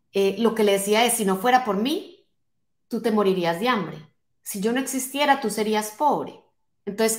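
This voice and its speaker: A woman in her late forties speaks as a motivational coach to an online audience. Her voice sits at a middle pitch and she is emphatic and direct, often checking in with short questions. The recording is echoey, made at a desk in a small home office. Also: a bit bright. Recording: roomy, echoing indoor sound.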